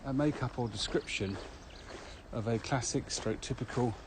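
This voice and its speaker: A man co-hosting a podcast, explaining things in a measured, slightly formal way. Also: medium pitch. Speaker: podcast co-host. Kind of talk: explanatory walking podcast chat. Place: walking outdoors across open fields. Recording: outdoor recording with clear ambient noise. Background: outdoor ambience.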